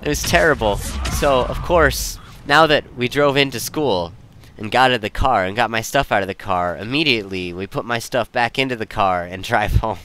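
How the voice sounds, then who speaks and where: A man's voice: mid-range voice, normal speed, bright, forceful tone, slight background noise. A young man telling personal stories in a casual, rambling way, often interrupting himself to second-guess his wording. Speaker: storyteller.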